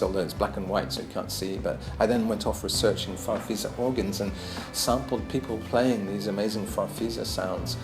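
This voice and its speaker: A man's voice: lowish voice, roomy sound. A man lecturing on his own album in a casual, musing way, hesitant, with many ums and restarts.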